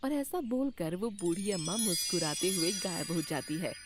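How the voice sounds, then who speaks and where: A woman's voice: lowish voice, animated, moderate pace, loud music behind the voice. A woman giving scripted narration of a children's moral tale.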